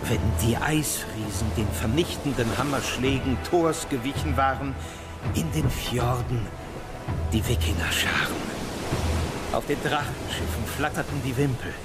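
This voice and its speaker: A man plays a grandiose saga narrator in a radio drama. He declaims solemnly in a medium-pitched voice, with very loud music behind him. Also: a little slow, close to an everyday voice. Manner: declamatory, stately.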